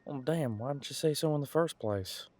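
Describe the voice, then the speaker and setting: A man's voice: mid-range voice, normal speed, darkish tone, natural voice. A man playing a casual, wry police detective in an audio roleplay, musing in a conversational, hesitant way. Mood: surprised, amused.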